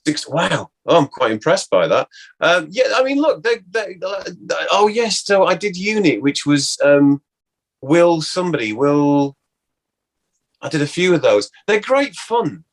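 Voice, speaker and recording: A man chatting casually as an interview guest, reminiscing and thinking aloud in a halting way. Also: a little high, big pitch swings.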